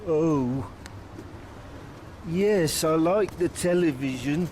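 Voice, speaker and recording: Very slow, childlike middle-aged man. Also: on the high side. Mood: compliant, unsure. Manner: literal, repetitive, flatly agreeable. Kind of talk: radio play dialogue. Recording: noisy outdoor scene with traffic.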